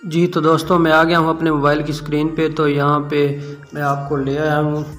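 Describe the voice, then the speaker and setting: Animated man presenting, music behind him. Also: a little high, fairly quick. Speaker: tech tutorial host. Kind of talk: casual app tutorial presentation.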